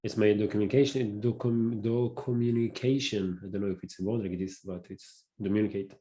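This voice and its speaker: A man with a Hebrew accent, a native Hebrew speaker, hosting a casual solo podcast and talking haltingly, often searching for words and restarting. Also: fairly low pitch, steady tone, dark tone. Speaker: podcast host.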